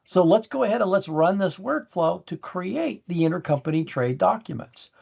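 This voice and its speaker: A man giving a casual, conversational software walkthrough as a demonstrator, explaining each step in plain, folksy terms. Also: sits high, animated, moderate pace, vocal fry, slightly rough, lo-fi sound.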